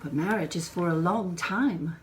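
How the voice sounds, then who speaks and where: A woman playing a tactful, hospitable married lady of a vicarage in a dramatized novel reading, polite and measured. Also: lowish voice, slight background noise, natural voice. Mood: wry.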